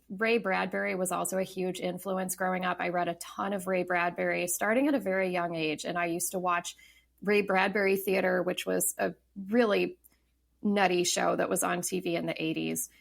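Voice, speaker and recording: A woman's voice: lowish voice, level tone, normal speed, creaky. A woman in her thirties, a novelist talking casually as a podcast guest, thoughtful, with many fillers and restarts. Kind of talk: casual interview talk.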